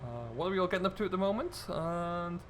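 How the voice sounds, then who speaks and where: A man's voice: high voice, expressive, quick pace. Chatty man over noisy vehicle sounds. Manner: chatty, easygoing running commentary addressed to viewers. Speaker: host, gaming video creator. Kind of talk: casual let's-play commentary of a farming simulator.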